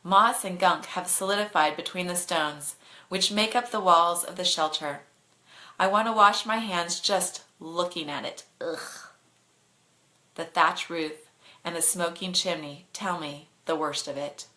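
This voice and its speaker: A woman's voice: on the low side, measured pace, bright, forceful tone. A woman reading a bedtime story expressively to online viewers, as its narrator.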